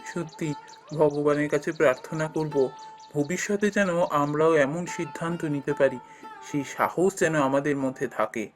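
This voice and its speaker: An actor playing a respectful, humble, well-meaning young son-in-law in a fairy-tale cartoon, speaking earnestly. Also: male voice, on the high side, normal speed, faint music behind, close to an everyday voice. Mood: admiring.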